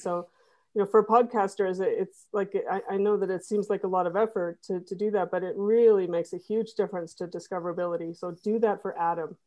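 Mellow-voiced woman explaining as a guest. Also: medium pitch. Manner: explanatory, repeats words while thinking. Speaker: podcast guest, web designer. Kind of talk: casual interview chat.

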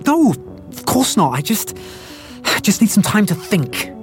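An actor playing an uneasy, earnest research scientist with a hesitant way of talking, in an audio drama. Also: male voice, sits high, big pitch swings, measured pace, soft background music. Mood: worried. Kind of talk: full-cast audio drama acting.

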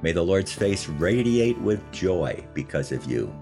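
A man's voice: low-pitched, big pitch swings, unhurried, faint music behind. An American man in his seventies hosting a podcast, telling personal stories from a script in a folksy, conversational way. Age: 70s. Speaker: podcast host.

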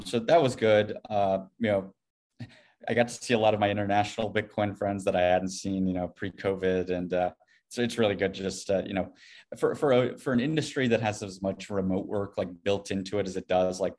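Male podcast guest, low voice, casual and rambling delivery with plenty of hedging. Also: steady tone, a little fast, a little mellow. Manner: rambling, hedging with frequent "you know". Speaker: podcast guest, Bitcoin company CEO. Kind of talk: casual interview conversation.

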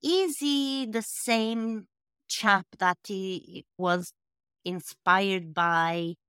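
A woman hosting a podcast interview, asking her guest questions with curiosity in an unhurried, friendly way. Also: mid-range voice, a bit bright, clean tone, no room sound, studio quality. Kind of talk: casual interview conversation.

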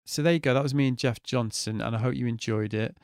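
A man's voice: mid-range voice, fast talker, smooth, dry recording, clean studio sound. A man in his forties, hosting a podcast in a chatty, candid monologue and swearing freely. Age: 40s. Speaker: podcast host. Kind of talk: casual solo podcast monologue.

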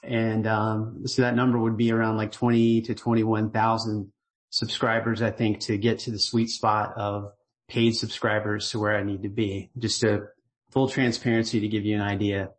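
A man's voice: on the low side, normal speed, low-quality recording. Casual, rambling man giving an update. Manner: relaxed and rambling, with many fillers and restarts; grateful and earnest. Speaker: newsletter writer and illustrator giving an update. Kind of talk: casual voicemail-style update.

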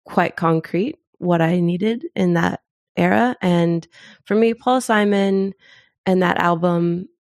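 A middle-aged woman telling personal stories in casual conversation as an interview guest, with a thoughtful, expressive and informal way of talking. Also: on the low side, takes it slowly, no echo, studio quality. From born in Zimbabwe.

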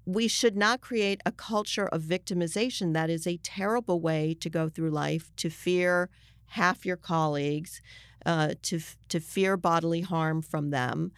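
An older woman, a journalist being interviewed, giving her opinions in a halting but emphatic way. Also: fairly low pitch, clear, resonant tone, no room sound.

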